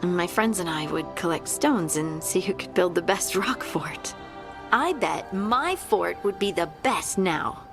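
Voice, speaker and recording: A woman's voice: fairly low pitch, expressive, unhurried. A woman playing an earnest, awkward, cheerful young scout with magic in a video game, bashful and a little hesitant. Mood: pensive. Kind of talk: naturalistic video game voice acting. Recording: game music behind the voice. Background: game music.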